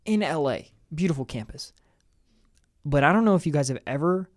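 A young man co-hosting a casual sports podcast, enthusiastic and quick to laugh, often repeating his words as he talks. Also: on the high side, no echo, studio quality. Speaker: podcast co-host. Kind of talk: casual chat about college football.

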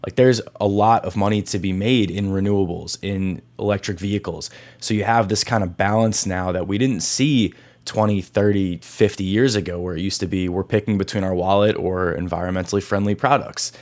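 A man hosting an interview show, reading a smooth scripted introduction and asking questions conversationally. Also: low voice, a little fast, darkish tone. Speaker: podcast host.

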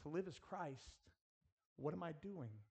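A man's voice: fairly high pitch, expressive, a little slow, gravelly, a little mellow, husky. A man preaching earnestly in a school chapel style, exhorting his listeners and addressing them directly. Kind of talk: sermon with rhetorical questions and prayer.

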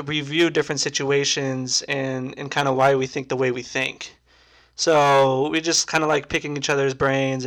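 Gravelly-voiced young man hosting a podcast. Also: medium pitch. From United States. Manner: relaxed, rambling, many fillers like "kinda". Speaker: podcast host. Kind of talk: casual podcast chat.

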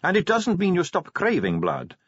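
A male reader puts on a young female vampire officer for a storybook. The voice sits high above his own and swings animatedly, teasing, breezy and sure of itself. The sound is slightly dull. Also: huge pitch swings, moderate pace, fairly bright tone, slightly rough, no echo, exaggerated voice. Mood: knowing, teasing. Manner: breezy, needling, coaxing.

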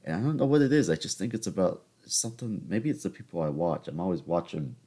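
A man's voice: sits low, lively intonation, quick pace, warm tone, husky. A young man in his twenties from the American South with a New York-tinged accent, hosting a solo podcast and telling rambling, joking stories about his week. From Charleston, South Carolina. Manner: rambling, joking, self-mocking. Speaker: podcast host. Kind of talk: casual solo podcast storytelling.

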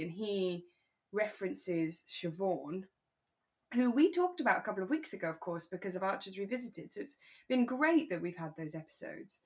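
A British woman co-hosting a podcast, chatting casually and conversationally about a radio drama, with a giggly streak. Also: mid-range voice. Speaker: podcast co-host.

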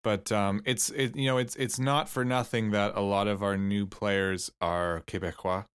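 A man's voice: lowish voice, steady tone, normal speed, a bit bright, clear, resonant tone, no room sound, studio quality. A man co-hosting a sports podcast in an informal, slangy, conversational way. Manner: informal and slangy, with frequent "like" and "you know". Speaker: podcast co-host and soccer fan. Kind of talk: casual sports podcast chat.

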